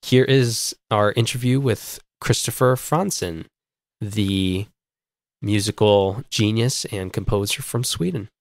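A male podcast host with a congested, stuffy voice, joking and rambling through casual chat. His voice sits on the low side. The sound is dry and close. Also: slow pace, dry recording. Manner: joking, rambling, stumbling over words. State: congested from a cold.

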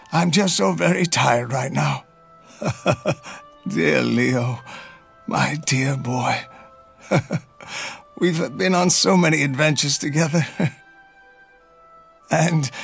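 A man's voice: high voice, wildly swooping pitch, a little slow, creaky, bright, forceful tone, soft background music, heavily acted. A man voicing an old retired male elephant uncle, fretful, tired and loyal. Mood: fond farewell. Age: older.

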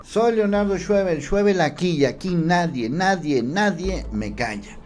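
A man's voice: sits high, measured pace, faint music behind. A man in his fifties with a Mexican accent, giving emphatic, indignant political commentary to camera from a small studio on a mic in frame. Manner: emphatic, indignant, animated hand gestures. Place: small studio with city backdrop.

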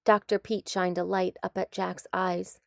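A woman narrating a children's story in a deliberate, expressive read-aloud style. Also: lowish voice, gravelly, no room sound, studio quality.

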